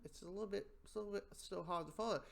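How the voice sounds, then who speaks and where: A man giving a casual spoken review in a rambling, halting way, often repeating his phrases. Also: high-pitched, vocal fry.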